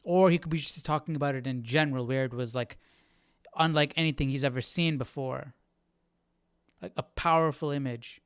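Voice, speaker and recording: A man's voice: fairly high pitch, normal speed, a bit bright, smooth, no echo, clean studio sound. A man hosting a livestream Bible study with casual, reflective commentary, thinking aloud and often checking in with his listeners.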